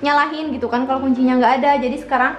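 A woman's voice: sits high, steady tone, rapid-fire, dull audio. A young adult woman, chatting casually and explaining things to viewers as a home-tour vlogger. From Indonesia. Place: her own small house, front yard then living room. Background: background music.

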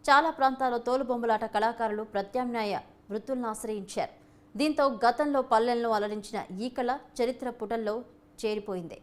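A woman's voice: a little high, a little fast, a little hiss or hum. A woman reading scripted narration for a documentary-style news feature.

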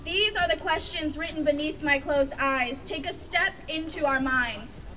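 A woman's voice: high-pitched, measured pace, pushed and bright, recorded from a distance. A young woman performing impassioned spoken word poetry as a youth activist at an outdoor rally, through a stage microphone. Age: young adult. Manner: impassioned, declamatory. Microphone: stage microphone at a podium. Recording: outdoor PA, music and crowd behind.

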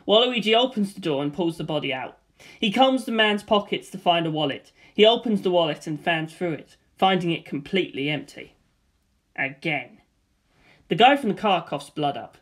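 A voice reading a screenplay aloud in a flat, deadpan way, stage directions and dialogue alike. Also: medium pitch, normal speed. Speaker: script reader.

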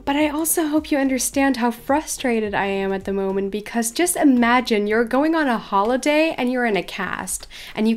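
A teenage girl from Belgium, chatting casually at her PC about an injury over game footage. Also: on the high side. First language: Dutch. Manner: chatty, repeats herself, frequent "you know". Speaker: gaming YouTuber. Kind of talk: casual story time over gameplay.

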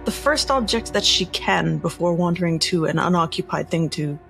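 A woman's voice: fairly low pitch, normal speed, creaky, a bit bright. A woman playing a tabletop roleplaying game, narrating what her character does as she improvises. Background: background music.

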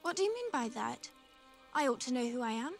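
A young woman with an English accent playing a dreamy, outspoken gentlewoman in a period fantasy film. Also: sits high, sing-song, fairly quick, creaky, soft background music, heavily acted. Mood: earnest. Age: young adult.

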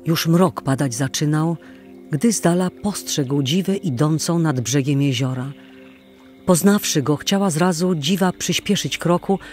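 Slow-paced male storyteller over music. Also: fairly high pitch, darkish tone. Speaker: narrator. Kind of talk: scripted audio drama storytelling. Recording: music playing behind the voice.

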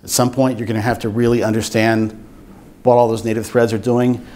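An older man giving an informal technical presentation at a meetup, explaining things in a measured way. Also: mid-range voice, level tone, darkish tone. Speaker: software engineer giving a talk. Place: meetup room with seated audience, indoors.

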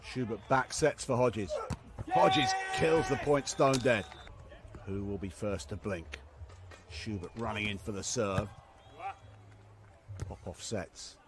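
A man's voice: lowish voice, slow pace, long pauses, background noise. A man giving live beach volleyball commentary in clipped phrases that swell on big points. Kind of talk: live sports play-by-play commentary.

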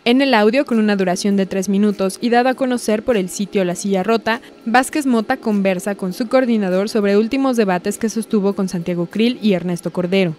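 A woman's voice: mid-range voice, fairly quick, darkish tone. A woman with a Mexican accent reading scripted news narration.

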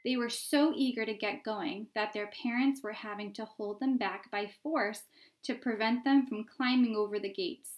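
Lady reading a kids book out loud at home, voice a bit high. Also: normal speed. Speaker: reader. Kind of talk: children's book read-aloud narration. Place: indoor room at home by a window.

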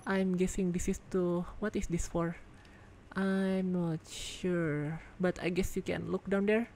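A woman giving casual gameplay commentary as a reviewer, excitable and thinking out loud, congested and losing her voice. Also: lowish voice, a little slow, a little hiss or hum. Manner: excitable, exclaims in surprise, thinks aloud. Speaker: game video reviewer.

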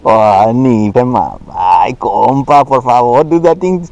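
A man's voice: mid-range voice, slow, darkish tone, dry recording. A man filming himself from a boat on a lake, teasing his friend and switching between English and Spanish as he reels in fish. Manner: joking, teasing, talks to the fish. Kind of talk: casual fishing banter mixing English and Spanish. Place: open boat on a lake, outdoors. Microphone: head-mounted action camera.

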